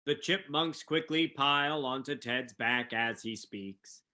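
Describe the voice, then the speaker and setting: A man's voice: mid-range voice, unhurried, bright, forceful tone. A man reading scripted narration and stage directions for a dramatic reading.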